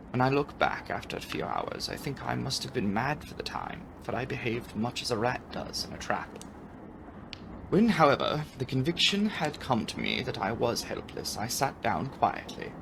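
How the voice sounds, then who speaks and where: A man giving a dramatic audiobook reading of a classic novel, with expressive, deliberate, theatrical phrasing. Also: mid-range voice, vocal fry, rough recording. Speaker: audiobook narrator and channel host.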